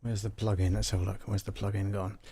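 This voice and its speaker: A man hosting a casual live audio software walkthrough, muttering to himself as he troubleshoots, with a gravelly, creaky voice. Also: lowish voice, little pitch movement, fast, a little hiss or hum. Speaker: livestream host demonstrating audio software.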